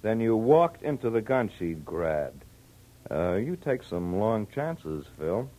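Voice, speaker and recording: Shrewd American cop, lower register, plainspoken, conversational, 1940s radio crime drama. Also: male voice, big pitch swings, slow pace, dark tone. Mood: wry, suspicious. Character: male police detective lieutenant, plainspoken, shrewd.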